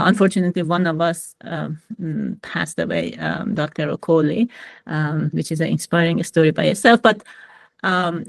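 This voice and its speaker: A woman's voice: lowish voice, gravelly, no room sound. A woman from Iran, a physicist, speaking hesitantly with many fillers on a video call. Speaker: physicist and mentoring programme founder. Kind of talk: online panel talk.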